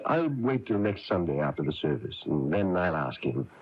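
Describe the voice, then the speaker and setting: An actor playing a kindly, chatty small-town minister in a radio drama, coaxing and avuncular, with hesitant phrasing. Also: male voice, low voice, very animated, normal speed, husky, heavily acted. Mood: concerned, helpful. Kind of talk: 1980s radio drama acting. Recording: dull, noisy AM radio recording.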